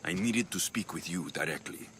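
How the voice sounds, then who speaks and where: An actor voicing a young Spartan mercenary hero in a video game, measured and direct. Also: male voice, low voice, expressive, fairly bright tone, slight background noise. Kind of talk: video game cutscene acting.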